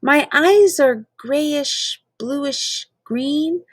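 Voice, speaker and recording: Woman, high-pitched, unhurried, playful dramatic read-aloud of children's verse, drawn-out emphatic words, close dry sound. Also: dry recording. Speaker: reader of children's poems.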